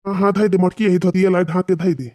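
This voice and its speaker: A woman's voice: on the low side, level tone, fast talker, mellow, lightly acted. A woman voicing a bossy, cautious village farmer husband in a cartoon comedy, speaking colloquially with an Awadhi accent. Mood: impatient.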